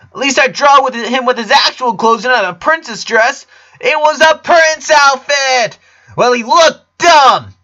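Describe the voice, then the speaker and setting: A man voicing excitable, smug little twin girls in a storybook reading, with a whiny, squabbling manner. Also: very high-pitched, measured pace, highs cut off, voice pushed up, heavily acted. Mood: indignant bickering. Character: bickering little girl twins, excitable and smug.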